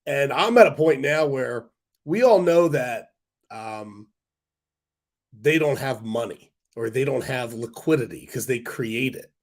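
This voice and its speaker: Man, hoarse from a cold, casual stream host, rambling, lots of ums, average pitch. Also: expressive, unhurried. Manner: rambling, many fillers and restarts. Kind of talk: casual livestream chat reading viewer comments.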